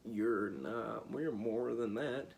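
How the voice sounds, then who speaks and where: A man's voice: mid-range voice, takes it slowly, vocal fry, slight background noise. A man voicing a plaintive living scarecrow from a storybook, aggrieved and wailing.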